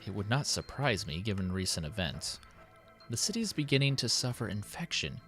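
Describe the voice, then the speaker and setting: A low male voice put on by a narrator as a burdened city president in a story. He is formal and measured, dictating a solemn diary with dutiful worry, over soft background music. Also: sing-song. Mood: troubled, resolute. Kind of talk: dramatic in-character diary reading.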